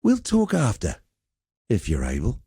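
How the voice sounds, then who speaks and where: A roguish gladiator master from a video game, easygoing and cocky. His mellow voice swings freely in pitch as he teases and goads with wry swagger. The recording is close and dry. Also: huge pitch swings, unhurried, slightly rough, no echo, full character voice. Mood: cocky, teasing. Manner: wry, teasing, conversational swagger. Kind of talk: video game character dialogue.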